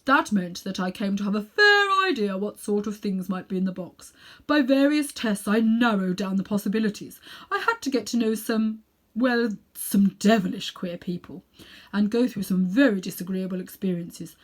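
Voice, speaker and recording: A woman's voice: mid-range voice, animated, normal speed, close to an everyday voice. Storybook villain, old male magician acted by a woman, pompous, condescending, self-important, sinister, emphatic delivery. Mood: boastful, secretive.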